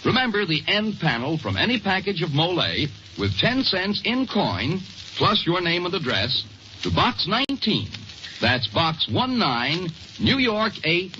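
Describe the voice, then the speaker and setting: A man working as a commercial announcer, delivering a 1940s style radio sales pitch. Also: sing-song, unhurried, bright, forceful tone, slight background noise. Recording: muffled old radio broadcast.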